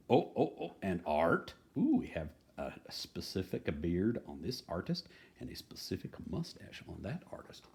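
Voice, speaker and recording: Animated low-voiced man reading a storybook. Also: vocal fry. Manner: folksy, playful dramatic delivery, dropping to hushed tones. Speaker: picture-book reader. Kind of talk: animated children's storybook read-aloud with chatty asides.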